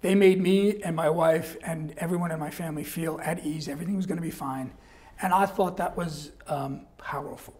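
An older man with a New York accent tells stories as an interview guest. He is animated, acts out the people he quotes, and laughs often. He is seated in a small studio. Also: fairly high pitch, brisk. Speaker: guest, guitar company rep. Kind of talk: casual storytelling interview. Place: small studio set with backdrop.

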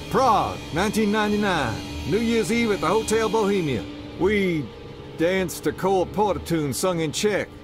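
Man acting an earnest higher-voiced officer. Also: natural voice. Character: earnest male special forces officer, former field handler. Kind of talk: dramatic video game acting. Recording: music behind the voice.

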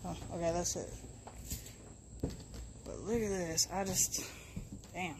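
A woman's voice: on the low side, steady tone, slow pace, noisy background. A woman walking through an abandoned house with a handheld camera, talking casually and swearing as she looks around. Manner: chatty, swears freely, thinks aloud. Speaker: urban explorer vlogger. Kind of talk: casual walkthrough narration. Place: abandoned wooden house, indoors and on porch.

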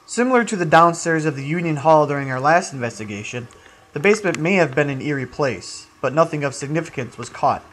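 A man's voice: on the high side, expressive, fairly quick, a bit bright, slight background noise. A man narrating a paranormal investigation in a scripted, documentary style. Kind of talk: scripted narration of a ghost hunt.

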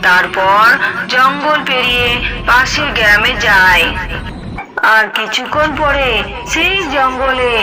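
A woman's voice: high-pitched, animated, slow, pauses often, rough recording. A woman giving scripted narration for a fairy-tale cartoon.

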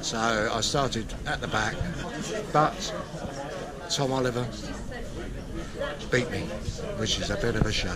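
A man's voice: medium pitch, measured pace, pauses often. Guy in his 60s, chatty and jokey, hosting a sailing club vlog, plain middle voice, crowd noise everywhere. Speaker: sailing club vlog host interviewing racers. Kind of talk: casual chat. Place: outdoors under a tent at a busy sailing club. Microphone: handheld mic. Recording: outdoor recording with loud crowd. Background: loud crowd chatter.

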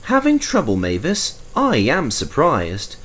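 A man's voice: fairly high pitch, huge pitch swings, unhurried, very noisy, pitched above the performer's own voice. A man voicing a fussy older male tram engine in a storybook, grumbling but kindly, with a pointed way of talking. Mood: mock surprise.

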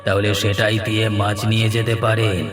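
A man voicing a menacing ghost fish seller in a horror cartoon, taunting slowly and breaking into eerie laughs. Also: fairly low pitch, little pitch movement, roomy sound, lightly acted. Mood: mocking menace. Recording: music behind the voice.

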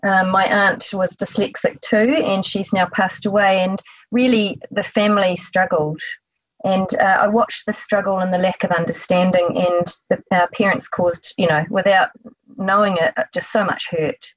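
A woman's voice: medium pitch, fairly even intonation, normal speed, no echo, low-quality recording. A middle-aged woman with a New Zealand accent, a therapist answering interview questions conversationally.

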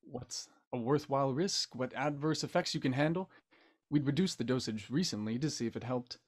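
A blunt older army doctor played by a man, with a mid-pitched voice. He talks in a breezy, folksy, matter-of-fact way, as in a period radio murder mystery. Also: moderate pace, husky, close to an everyday voice. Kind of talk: audio drama acting.

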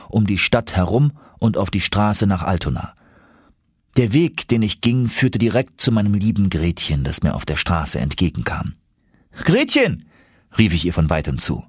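A man's voice: lowish voice, husky, no echo, clean studio sound. A man reading an audiobook as a dramatic first-person narrator.

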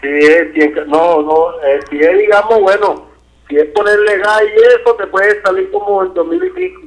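A man's voice: high-pitched, lively intonation, normal speed. A man with a Venezuelan accent in a casual phone conversation as a repairman, heard over a phone line. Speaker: air-conditioning repairman.

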